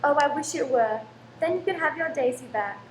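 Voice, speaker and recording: Woman acting a careworn Victorian wife, high voice, anxious complaining manner, radio play drama, roomy echo. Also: expressive, normal speed. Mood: apologetic, hopeful. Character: fretful, careworn Victorian London landlady, sharp-tongued wife. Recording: roomy stage recording, faint background noise.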